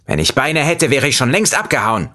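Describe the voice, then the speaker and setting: A man voicing a furious, insulting female lemon who mocks in a shrill, manic way, as a storybook figure. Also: sing-song, brisk, bright, forceful tone. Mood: scornful rant.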